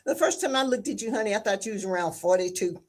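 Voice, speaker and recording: A woman's voice: medium pitch, expressive, quick pace. A woman chatting casually and emphatically, often repeating her phrases. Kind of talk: casual group chat. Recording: noisy feed with clicks.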